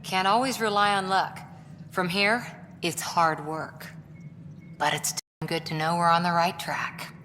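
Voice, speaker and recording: Hopeful station worker, bright forceful tone, lowish, echoey. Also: female voice, steady tone, unhurried. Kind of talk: video game voice acting. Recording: reverberant, faint background noise.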